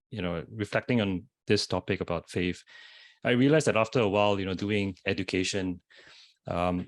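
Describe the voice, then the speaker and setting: A man with a Singaporean accent, a panelist sharing a reflective personal story with frequent fillers, speaking over a video call. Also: sits low. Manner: thoughtful, many fillers and restarts. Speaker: panelist, social entrepreneur.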